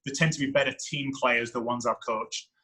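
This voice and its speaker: Deep-voiced male coach, bright and reflective. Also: steady tone, brisk, husky. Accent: foreign to the United States. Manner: reflective, thinking aloud. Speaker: women's soccer head coach. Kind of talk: podcast interview answer.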